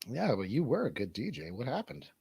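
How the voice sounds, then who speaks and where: A man's voice: mid-range voice, wildly swooping pitch, brisk, creaky, mellow, slightly rough, dry recording. A man hosting a casual stream, asking questions and teasing in informal bro slang. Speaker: stream host interviewing a friend.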